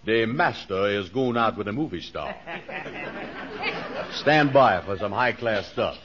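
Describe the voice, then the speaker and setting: An actor playing a boastful, slow-witted man in a 1940s radio comedy, pompous and bumbling, with folksy ungrammatical speech. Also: lowish voice, expressive, crowd noise. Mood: excited, boastful. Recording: muffled, hissy 1940s radio recording.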